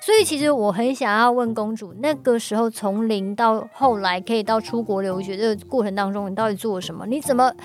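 A woman with a Taiwanese Mandarin accent hosting a casual podcast interview, curious and animated in the way she reacts. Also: on the high side, brisk, few pauses. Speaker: podcast host.

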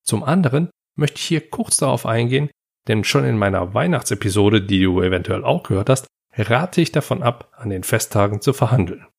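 A man's voice: medium pitch, lively intonation, fairly quick, gravelly, breathy edge. A man from Germany hosting a podcast, delivering a scripted advice monologue that speaks directly to the listener. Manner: direct address to the listener, anecdotal. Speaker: podcast host.

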